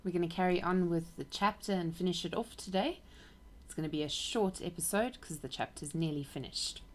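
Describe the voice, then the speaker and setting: A woman's voice: fairly low pitch, fairly quick. Woman reading a storybook aloud. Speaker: aunt reading a book aloud.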